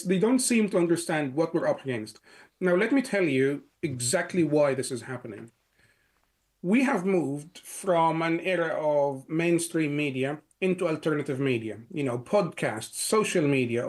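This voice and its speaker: A middle-aged man from Egypt, a guest answering interview questions in long runs full of "you know" and restarts. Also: on the high side. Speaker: guest, security analyst.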